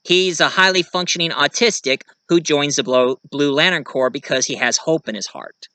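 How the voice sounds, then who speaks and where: A man hosting his own vlog, talking casually to viewers and haltingly searching for words. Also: a little high, pushed and bright, clean tone, no room sound. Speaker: video reviewer and host of the channel. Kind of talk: casual talk to viewers about own writing.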